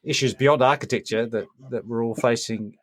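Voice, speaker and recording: A man hosting a casual interview podcast, talking in measured short phrases with pauses between them. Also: mid-range voice. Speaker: podcast host.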